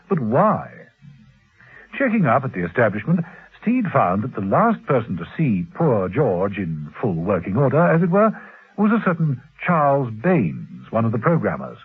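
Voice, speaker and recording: Man, old spy radio drama narration, animated intonation, theatrical suspense, thin vintage broadcast sound. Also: mid-range voice. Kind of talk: dramatic scripted narration, classic radio serial. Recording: muffled, husky-sounding old radio recording.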